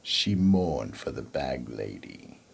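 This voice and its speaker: Casting: male horror drama narrator, gravelly and badly rasping, dramatic delivery with big swoops of intonation. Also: a bass voice, big pitch swings, slow. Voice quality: very raspy, gravelly.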